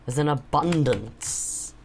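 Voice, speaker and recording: Young man, slightly hoarse, playful teasing co-host, casual gaming banter. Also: a little high, slow pace, slight background noise. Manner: chatty, teasing, hesitant with frequent ums. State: sore throat, a bit croaky. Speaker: co-host playing a game with his father.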